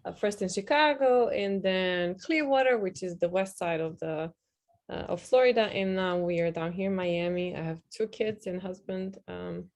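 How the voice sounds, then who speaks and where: A woman's voice: on the low side, moderate pace. A woman with a Serbian accent, introducing herself on a casual online networking call, friendly and hesitant with many fillers. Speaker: agency founder and guest. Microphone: video call.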